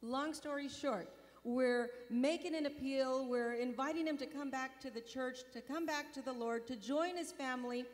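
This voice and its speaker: Animated woman with handheld mic in hall. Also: high-pitched, normal speed. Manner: animated storyteller with gestures. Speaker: mission volunteer and board member. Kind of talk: testimony on stage. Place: large convention hall stage. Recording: long hall reverb.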